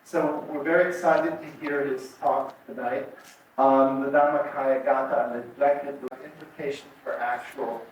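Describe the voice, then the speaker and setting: A man hosts a public presentation and introduces the guest speaker. His speech is halting and full of repeated words. He sounds far from the mic, with a strong echo and faint noise behind him. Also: medium pitch, fairly even intonation. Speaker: event host.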